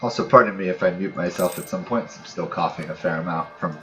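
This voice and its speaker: A man's voice: low voice, quick pace, fairly bright tone. A man giving casual, unscripted commentary as the host of a gaming let's play, musing aloud in a hesitant way with trailing thoughts. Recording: dull lo-fi mic, music behind. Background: background music.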